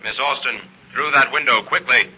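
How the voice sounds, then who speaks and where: An actor playing a commanding crime-fighting avenger in an old-time radio drama, speaking in terse orders. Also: male voice, medium pitch, moderate pace, bright, forceful tone, a little hiss or hum. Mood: urgent, commanding. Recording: muffled vintage radio recording.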